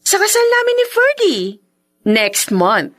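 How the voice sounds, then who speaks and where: An actress in a Tagalog radio drama playing a cheerful, grateful salon owner newly in love, with an expressive, exclaiming manner. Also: female voice, extremely high pitch, a little slow, over music, heavily acted. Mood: surprised, delighted.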